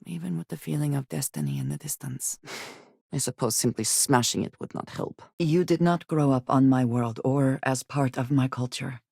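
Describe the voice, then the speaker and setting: An actress voicing a guarded, earnest female spacefarer in a video game, grave and loyal, with formal, measured phrasing. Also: very deep voice, lively intonation, vocal fry, warm tone, slightly rough, no echo, clean studio sound, full character voice. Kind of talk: video game character voice lines.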